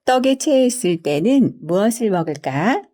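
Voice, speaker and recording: A woman narrating scripted bedtime folktales for children in an expressive storyteller's manner. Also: mid-range voice, moderate pace. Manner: storyteller's expressive, polite explaining delivery.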